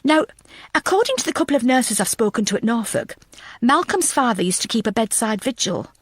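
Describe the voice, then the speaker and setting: A woman's voice: on the high side, sing-song, a little fast, breathy edge, dry recording. Woman narrator doing young female cop in a crime audiobook, earnest n stern, keen, very dry recording.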